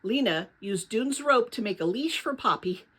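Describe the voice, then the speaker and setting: A woman narrating a children's novel aloud in an expressive storybook style. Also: on the high side, moderate pace, husky.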